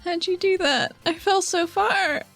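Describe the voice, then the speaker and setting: A woman's voice: extremely high pitch, unhurried, fairly bright tone, soft background music, pitched above the performer's own voice, heavily acted. A woman playing an embarrassed, self-deprecating young woman in a tabletop game, with a hesitant way of talking. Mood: sheepish, eager. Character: embarrassed, flustered, self-deprecating young woman exploring her own mind.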